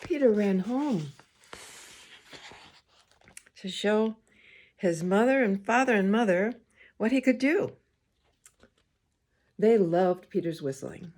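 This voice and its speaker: Slow-paced woman reading to young children. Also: mid-range voice. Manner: expressive, gentle pauses, talks to young children. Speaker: storybook reader, school well-being coordinator. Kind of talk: children's picture book read-aloud with questions to listeners.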